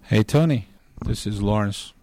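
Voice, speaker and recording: A middle-aged man from northeastern Brazil, a native Portuguese speaker with a Brazilian accent, giving prepared commentary as a caller in a deliberate, measured way. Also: lowish voice, mellow, dry recording. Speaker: listener calling in.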